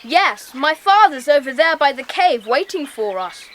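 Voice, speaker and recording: A woman's voice: high-pitched, sing-song, a little slow, fairly bright tone, exaggerated voice. A woman playing an earnest, confident, bossy boy gang leader in a children's audio drama. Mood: excited, assured. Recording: noisy cassette transfer.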